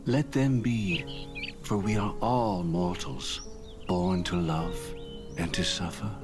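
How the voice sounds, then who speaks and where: An actor voicing a solemn, fatherly older gentleman in a game, speaking formally, like a preacher bidding children farewell. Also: deep voice, takes it slowly, over music.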